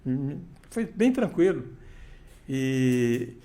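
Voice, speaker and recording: A man's voice: medium pitch, very animated, extremely slow, dark tone. An older man, an interview guest telling radio anecdotes with many restarts and quoted dialogue. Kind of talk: casual storytelling interview.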